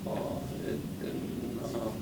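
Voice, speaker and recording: An older man born in the Netherlands, with Dutch as his first language, recalling his past slowly with many pauses and fillers in an oral history interview. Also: medium pitch, expressive, vocal fry. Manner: slow, halting, many fillers. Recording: roomy, distant, noisy recording.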